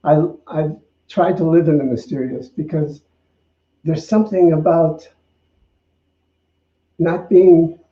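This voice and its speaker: A man speaks as a minister giving an informal, reflective spiritual talk. His voice sits a little high for a man and he talks conversationally with some hesitation. The sound is roomy and echoing, with a slightly dull tone. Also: fairly even intonation, takes it slowly, long pauses. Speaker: minister, co-host.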